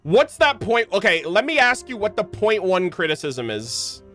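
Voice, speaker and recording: A man's voice: sits high, big pitch swings, moderate pace, pushed and bright. Man, casual live-stream commentary, slangy, music behind. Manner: slangy, informal. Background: game music.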